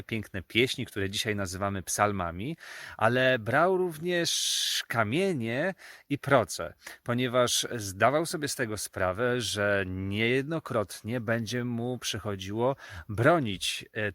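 A man's voice: medium pitch, fairly bright tone, no room sound, clean studio sound. A man telling Bible stories to children as their storyteller.

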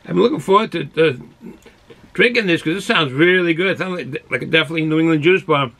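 Gravelly older Boston man, bright voice. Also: a little high, fast. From Boston, United States. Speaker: beer reviewer. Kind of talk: casual review chat to camera. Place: small cluttered indoor hobby room.

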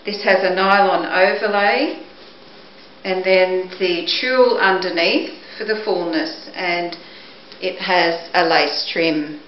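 A middle-aged woman presenting items for auction in a measured, matter-of-fact way, speaking to a camera a few steps away indoors. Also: on the low side. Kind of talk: product showcase, descriptive talk. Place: indoors, living or dining room at home. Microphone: camera microphone a few steps away. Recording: echoey room, dull muffled sound, background hiss.

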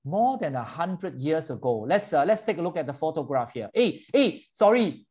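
A fairly high-voiced man hosts a virtual tour in an animated, chatty way. He cuts in on his guest and switches between English and Mandarin as he explains things. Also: moderate pace, slightly rough. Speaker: host. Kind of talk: bilingual virtual tour chat for seniors.

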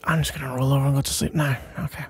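A man playing a shy, sleepy, smitten young best friend in an intimate ASMR roleplay, stammering and awkward, with a gravelly, breathy voice. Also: mid-range voice, fairly even intonation. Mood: flustered, embarrassed. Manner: halting, stammering, awkward, mumbling.

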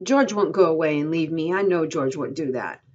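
Woman acting agitated, childlike ranch hand, husky voice. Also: medium pitch, lively intonation, moderate pace, muffled recording, voice pushed up. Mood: frightened. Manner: rustic dialect, insistent and pleading. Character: childlike, trusting, easily agitated ranch worker man.

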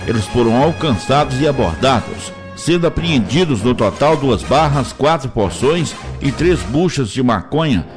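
A Brazilian man narrates scripted police news as an announcer. His pitch sits in the middle range, and music plays clearly behind him. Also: animated, normal speed, few pauses. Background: music under the voice.

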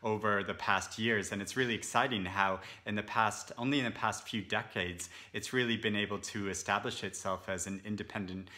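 A man's voice: lowish voice, steady tone, fairly quick, hardly any pauses, pushed and bright. A man in his thirties talking casually to a camera from a sofa, rambling with many fillers and restarts. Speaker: book vlogger. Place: indoors, seated on a sofa.

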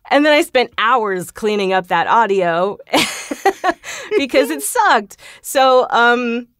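A woman's voice: a little high, expressive, slow, fairly bright tone, no echo. Chatty woman in a very dry studio. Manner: chatty, laughs often. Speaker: guest, voice actor. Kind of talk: casual interview chat. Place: closet home studio, dry sound. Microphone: shotgun studio microphone.

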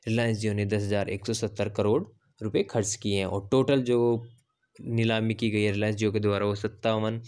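Male host, low and flat, voice-over reading a document line by line. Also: clean tone. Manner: reads slowly and haltingly in English passages; lists circles and figures off slides. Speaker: channel host. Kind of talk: explainer reading documents on screen. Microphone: voice-over over slides.

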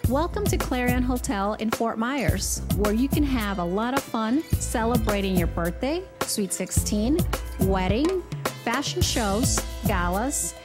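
A woman reading a hotel advertisement as an upbeat sales pitch. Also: expressive, measured pace. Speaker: ad voice-over. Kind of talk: read advertisement. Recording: voice-over over loud music.

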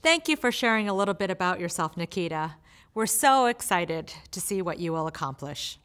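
A woman's voice: on the low side, lively intonation, fairly quick, fairly bright tone, smooth. An upbeat woman hosts a streamed event from a studio stage, speaking into a handheld microphone. She talks to the audience directly in a scripted, welcoming way. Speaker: event host. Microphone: handheld mic.

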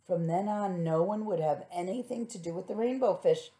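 A woman's voice: fairly low pitch, moderate pace. Middle-aged woman reading a children's story. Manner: gentle questioning asides to young listeners. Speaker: preschool teacher. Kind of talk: children's storybook read-aloud with asides.

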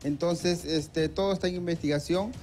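Peruvian man, 30s, hesitant street interviewee, a bit high, faint street noise. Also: steady tone, normal speed, a little mellow. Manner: hesitant, many fillers. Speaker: crime victim interviewee. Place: outdoors on a city street. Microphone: reporter's handheld mic.

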